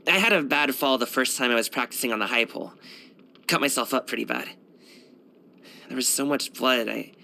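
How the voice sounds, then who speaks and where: Bright, brash young martial artist. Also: male voice, mid-range voice, slight background noise. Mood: candid, upbeat. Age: young adult. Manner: slangy, boyish, animated, self-assured. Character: brash, cheerful young male martial arts dancer and guard. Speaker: video game character voice actor. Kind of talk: casual, conversational game voice lines.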